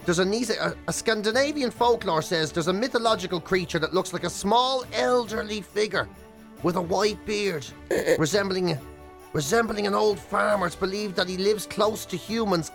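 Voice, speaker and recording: A man's voice: high voice, moderate pace, creaky, a bit bright, faint music behind. A man with an Irish accent co-hosting a radio show, chatting casually with a dry, rambling, teasing sense of comedy. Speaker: radio breakfast show co-host. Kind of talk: casual comic banter. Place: radio studio.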